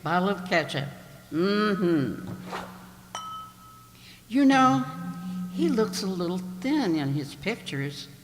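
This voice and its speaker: A woman's voice: mid-range voice, sing-song, takes it slowly, long pauses, slight background noise. A woman playing a chatty, gossipy grocery cashier in an amateur radio play. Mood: chatty, curious. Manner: gossipy, inquisitive, repeats the orders back. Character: chatty, nosy female grocery store cashier. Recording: echoey hall, long reverb.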